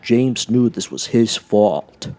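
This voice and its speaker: A man's voice: fairly low pitch, lively intonation, slow. A man reading scripted narration for a children's story as a storyteller.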